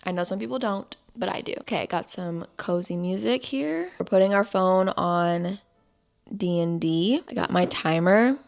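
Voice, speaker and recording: A woman's voice: fairly low pitch, moderate pace, vocal fry. A young woman vlogging in a casual chatty way, a bit stuffy-nosed, sometimes dropping to a whisper. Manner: chatty, often drops to a whisper. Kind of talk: casual vlog chat.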